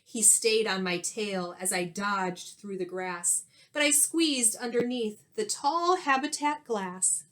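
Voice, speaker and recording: A woman reading a children's storybook aloud like a teacher, expressive and sing-song. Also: mid-range voice. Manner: expressive, sing-song, pausing to ask listeners questions.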